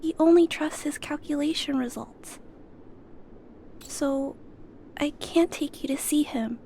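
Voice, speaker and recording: A woman playing a gentle, timid yet stubborn little girl in a video game, speaking politely and hesitantly. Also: high voice, slow, gravelly, slight background noise, heavily acted. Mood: timid, earnest. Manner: soft-spoken, polite, hesitant.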